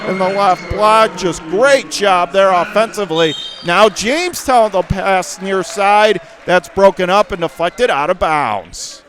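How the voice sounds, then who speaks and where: Male play-by-play commentator, fairly high voice, clipped phrasing that lifts with the action on court. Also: unhurried, few pauses, some chatter behind. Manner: clipped present-tense phrases, rising to excited calls on action. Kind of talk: live basketball play-by-play commentary.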